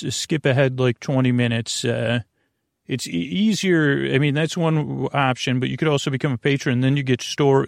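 Creaky-voiced man rambling through a bedtime podcast. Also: medium pitch, no room sound, studio quality. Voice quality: creaky, gravelly. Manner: long-winded, meandering, halting, constantly drifting off topic. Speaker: podcast host.

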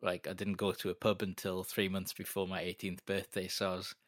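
A man's voice: low voice, monotone, brisk, no echo, studio quality. A man, chatting as an interview guest, rambling and self-deprecating with lots of fillers. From North East England. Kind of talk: casual interview chat.

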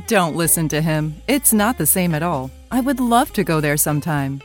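A woman's voice: deep voice, animated, moderate pace. A woman playing a cheerful, affectionate wife in an audio drama. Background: music.

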